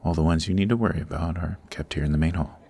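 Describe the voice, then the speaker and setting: A man playing a suave, formal supervillain boss in an ASMR roleplay, patient and exacting, with measured, instructive speech. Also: sits low, fairly even intonation, vocal fry, mellow, husky, full character voice. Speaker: voice actor.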